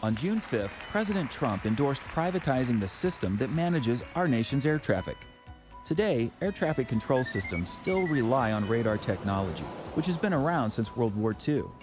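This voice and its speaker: A man narrating a scripted news explainer. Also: medium pitch. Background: music.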